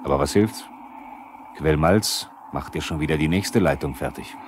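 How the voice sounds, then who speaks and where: An actor playing a sober, probing narrator who addresses the characters in a 1970s radio drama. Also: male voice, very deep voice, moderate pace. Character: probing male narrator, the characters' inner questioner. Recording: noisy 1970s vinyl radio play.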